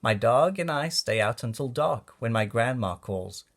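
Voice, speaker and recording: A man narrates a children's storybook in rhyming verse. His medium-pitched voice moves up and down in a very animated way. He takes his time, delivering each phrase deliberately and expressively for young children.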